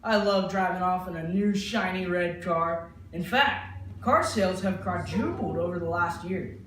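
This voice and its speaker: Echoey, high-voiced young man anchoring a newscast. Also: a little slow, a bit bright, slight background noise. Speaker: news anchor. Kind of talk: mock 1950s newscast presenting. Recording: echoey room with music behind.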